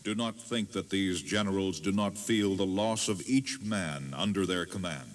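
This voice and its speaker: A man giving solemn, measured, dramatic scripted narration as a tour guide. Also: sits low.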